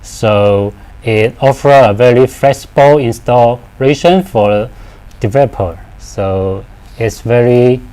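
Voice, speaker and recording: A man in his thirties presents technical product training at a slow pace. He speaks haltingly in simple, non-native phrasing, often repeating a word before he moves on. His voice sits at an ordinary male pitch. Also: dark tone, clear, resonant tone, poor audio.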